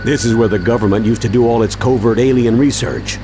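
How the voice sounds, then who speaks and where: A man's voice: mid-range voice, steady tone, a little fast, noisy background, natural voice. A man playing a wise, wary older mentor in a video game, serious and explanatory. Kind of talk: video game cutscene voice acting. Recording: music under the voice.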